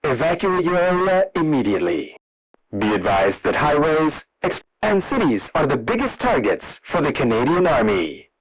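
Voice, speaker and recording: Animated higher-pitched male emergency announcer. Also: normal speed, slightly rough, no echo, rough recording. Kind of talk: scripted emergency broadcast narration.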